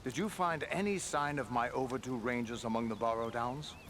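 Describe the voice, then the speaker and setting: A man playing a grave, dutiful ranger captain in a fantasy video game, speaking in formal, measured, archaic phrases. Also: medium pitch, a bit bright, a little hiss or hum, lightly acted. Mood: grateful, measured. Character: grave, dutiful male ranger captain, concerned for his men.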